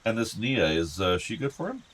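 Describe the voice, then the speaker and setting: A man's voice: on the low side, big pitch swings, normal speed, fairly bright tone. A male actor playing a fond, outdoorsy middle-aged father of two grown sons in a naturalistic radio play. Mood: quietly concerned. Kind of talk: naturalistic radio drama dialogue.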